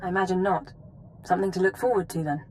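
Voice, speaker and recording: A woman's voice: fairly low pitch, steady tone, fast, lightly acted, rough recording. Woman acting a composed intelligence officer, background noise. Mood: composed, businesslike. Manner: crisp, formal, businesslike. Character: composed, precise female intelligence analyst briefing an agent. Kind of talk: video game voice acting.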